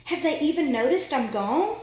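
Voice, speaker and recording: A woman's voice: sits high, expressive, moderate pace, darkish tone, voice pushed up. A woman voicing an exasperated, fussy pet goldfish in a dramatic children's storybook read-aloud. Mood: wistful, worried. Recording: echoey room.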